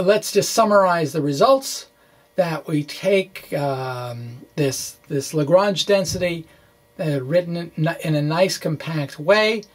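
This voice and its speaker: Halting, folksy man giving an informal lecture. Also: on the high side. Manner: halting, with repeated words and false starts; folksy, playful phrasing. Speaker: physics presenter. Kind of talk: informal lecture walkthrough of equations.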